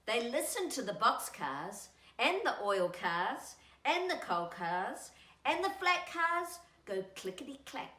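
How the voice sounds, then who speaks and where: Animated, high-pitched woman reading to children. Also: slow pace, reverberant. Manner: animated, singsong, addressing young children. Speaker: storytime host and children's author.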